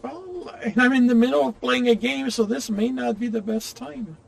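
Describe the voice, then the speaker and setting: A man giving casual gameplay commentary, talking in a distracted, halting way. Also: extremely high pitch. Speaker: gamer playing on a stream or recording. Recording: game music clearly behind voice.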